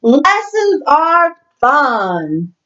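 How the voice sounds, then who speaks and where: A woman voicing an eager, excitable young boy shark in a storybook reading, bouncy and exclaiming. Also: high voice, drawn-out words, dull audio, pitched above the performer's own voice, full character voice. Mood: excited.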